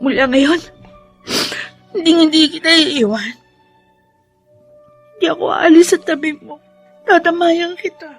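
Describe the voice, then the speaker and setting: Casting: anguished young Filipino daughter, radio drama, extremely high voice, emotional and tearful. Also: female voice, a little slow, pauses often, faint music behind. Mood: tearful, remorseful. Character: grieving young daughter, anguished and devoted to her mother.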